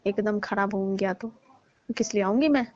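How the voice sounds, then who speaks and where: A young newlywed daughter-in-law, played by a woman in a phone-call drama. She complains insistently in everyday speech, feeling wronged. The sound is dry and dull, like low-grade call audio. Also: normal speed, a little mellow, no echo, natural voice. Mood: complaining, insistent.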